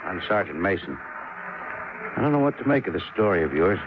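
An actor playing a skeptical, by-the-book homicide sergeant in a 1950s radio drama, with a blunt, terse, grudging manner. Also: male voice, deep voice, big pitch swings, a little fast. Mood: suspicious, grudging. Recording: muffled, noisy 1950s radio recording.

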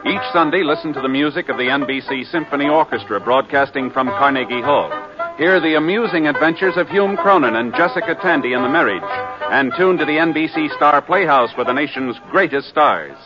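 A man's voice: on the high side, fairly quick. A man working as a network radio announcer, reading a script in a formal, polished 1950s style. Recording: muffled 1950s radio with music behind.

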